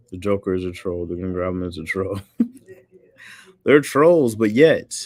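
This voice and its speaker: A man with a notably deep, low voice hosts a casual stream, rambling easily and breaking into frequent laughs. His voice sits close and dry, with no room sound around it. He responds loosely to comments as they come in. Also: darkish tone, dry recording. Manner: laid-back, rambling, laughs often. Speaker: livestream host reading chat. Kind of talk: casual chat.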